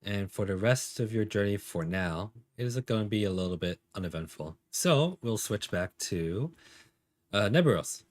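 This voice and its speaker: Male game master, low voice, dry close sound, casual and hesitant improvised narration. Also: animated, normal speed, dry recording.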